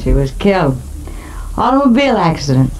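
A woman's voice: lowish voice, sing-song, a little fast, a little mellow. An actress playing a tough, jaded woman in 1950 radio noir, sardonic, brusque and slangy. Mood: bitter. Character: jaded, hard-drinking, tough dame carrying a torch. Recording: noisy old radio broadcast.

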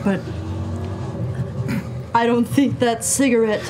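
Lady doing a cocky 80s action movie heroine, high voice, slow swaggering one-liners, bit noisy. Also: steady tone. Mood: smug. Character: ice-cold tough 1980s action-movie heroine. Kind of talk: mock movie trailer radio play.

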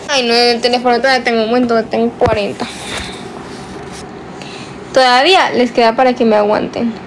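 A woman's voice: fairly high pitch, steady tone, brisk, a little hiss or hum, rough recording. A nineteen-year-old woman, chatting casually and teasingly on a phone livestream from her bedroom at night, drawing out some words. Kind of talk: casual livestream chat, reading viewer comments. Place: small dim bedroom, indoors at night. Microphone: phone held close, selfie framing.

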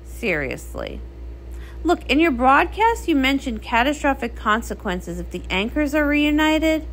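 A woman acts a cool, practical female pony from a comic story. The delivery is dry and matter-of-fact, with a varied pitch that often drops lower. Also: sing-song, a little slow, slight background noise, heavily acted. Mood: dry, serious.

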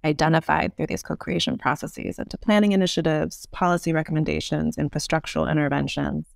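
A woman in her thirties explaining ideas as an interview guest, articulate and measured, with polished professional phrasing. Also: low voice, steady tone, vocal fry, no room sound, studio quality. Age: 30s. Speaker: podcast guest.

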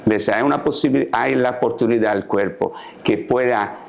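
A man in his sixties, a tailor, calmly explaining his daily routine in a sit-down interview, recorded on a lapel mic in a living room set. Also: medium pitch, normal speed, gravelly. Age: 60s. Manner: calm, explanatory, gestures while talking. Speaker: guest, tailor. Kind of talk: casual interview talk.

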